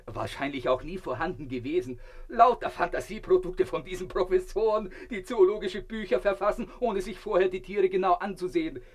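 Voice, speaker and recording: Vain radio-play gentleman, high voice, pompous, lecturing, theatrical, smug. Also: brisk, hardly any pauses. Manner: pompous, lecturing, self-satisfied. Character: self-important man swallowed alive by a crocodile, blissfully vain.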